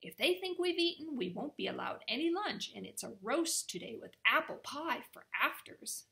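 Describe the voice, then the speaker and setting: A woman's voice: mid-range voice, lively intonation, moderate pace, creaky, sharp tone. A woman voicing a bossy, sarcastic male raven in a storybook. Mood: grumbling, bossy.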